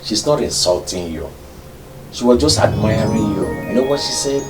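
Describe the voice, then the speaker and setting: A man's voice: fairly low pitch, animated, moderate pace, lightly acted. A man playing a placating husband who reassures his angry wife in a film drama. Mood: coaxing. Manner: coaxing, reassuring. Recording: echoey room, loud background music.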